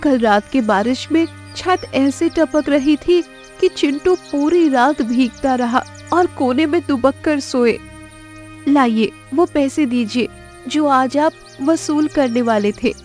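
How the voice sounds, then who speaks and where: A woman's voice: high voice, darkish tone, faint music behind. A woman playing a worried, reproachful village wife in a cartoon moral story, complaining with emphasis. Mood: anxious, expectant. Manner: slow, complaining, emphatic delivery.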